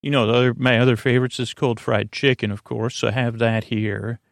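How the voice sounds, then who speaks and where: A man hosting a bedtime podcast, talking in a meandering, halting way with stammers and tangents, meant to soothe. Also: medium pitch, little pitch movement, vocal fry, no echo, clean studio sound. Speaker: podcast host.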